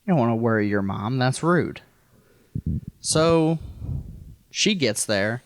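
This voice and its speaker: A man's voice: mid-range voice, animated, slow pace, no room sound. An American man from rural Missouri telling a personal story casually as a podcast guest, in a wry, self-deprecating, profane way. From rural Missouri, United States.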